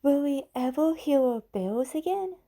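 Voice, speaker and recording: Casting: woman to play a sweet little puppet sheep, high and very animated, childlike and excitable. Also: a little slow. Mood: searching, worried. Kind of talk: children's puppet show acting.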